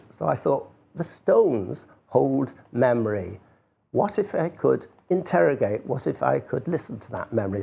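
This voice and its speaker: An older man giving an informal, unscripted talk as an artist, halting and rambling, with a self-deprecating manner. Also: mid-range voice, creaky, mellow, husky.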